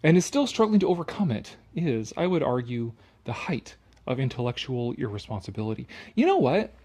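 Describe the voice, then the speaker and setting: A man's voice: medium pitch, sing-song, brisk, warm tone. A Canadian man giving casual, opinionated commentary on an article he reads aloud, sarcastic, exasperated and given to swearing.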